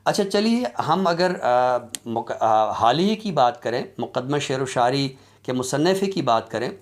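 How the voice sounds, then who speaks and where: A man giving an academic lecture in a deliberate, explanatory way, with halting pauses. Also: medium pitch, animated. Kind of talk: academic lecture on literary criticism.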